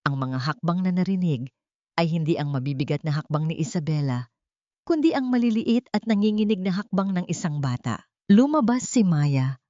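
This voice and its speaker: A woman's voice: deep voice, darkish tone, no echo, muffled recording. A woman reading a scripted dramatic story as an audiobook narrator.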